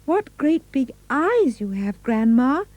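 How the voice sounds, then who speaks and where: A woman's voice: high-pitched, sing-song, slow, a little mellow, dry recording, voice pushed up, exaggerated voice. A woman voicing a confident young girl from a storybook, sweet and assured. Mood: innocent.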